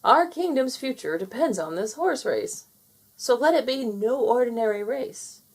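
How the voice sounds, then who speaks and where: Storybook old rooster king, solemn and commanding, gravelly voice, as a woman reader puts him on. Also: fairly high pitch, lively intonation, unhurried, pitched above the performer's own voice, heavily acted.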